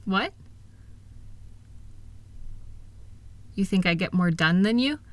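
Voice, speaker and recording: A woman playing a kind, reassuring wife who gently and earnestly encourages her partner in an intimate one-sided roleplay audio. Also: medium pitch, lively intonation, fairly quick, no echo, natural voice. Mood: gently firm.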